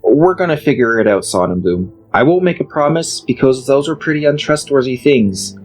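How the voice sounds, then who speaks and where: Man acting an earnest adventurer, lively intonation. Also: medium pitch, moderate pace, faint music behind, close to an everyday voice, low-quality recording. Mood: earnest, reassuring. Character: reassuring, earnest male guild adventurer. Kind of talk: audiobook drama acting.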